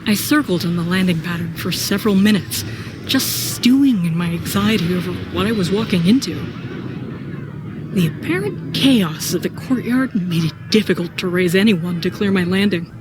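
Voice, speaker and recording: A woman's voice: lowish voice, expressive, normal speed, natural voice. A woman narrating a sci-fi audio drama in first person as a troubled, brooding soldier. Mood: anxious. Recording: clear background noise and ambience.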